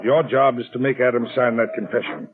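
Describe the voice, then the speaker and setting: A man's voice: medium pitch, fairly even intonation, fairly quick, natural voice. Cold-blooded villain boss played by a man, clipped, commanding, menacing, vintage narrow-band radio drama. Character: cold, commanding male swindler villain, ruthless, educated. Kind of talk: 1940s radio acting. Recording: tinny, lo-fi old radio recording.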